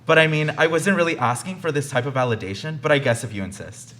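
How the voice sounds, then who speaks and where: A man's voice: fairly high pitch, animated, fast talker, pushed and bright. A young man acting as a self-important, aggrieved podcaster in a comedy radio play. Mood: smug. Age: young adult. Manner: pompous, aggrieved, self-promoting. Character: self-important, whiny male podcaster milking his victimhood.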